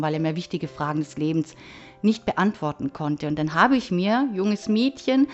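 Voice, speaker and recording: Earnest woman in her fifties, lo-fi. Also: lowish voice. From southern Germany. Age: 50s. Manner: reflective, earnest storytelling. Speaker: interviewee. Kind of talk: personal testimony.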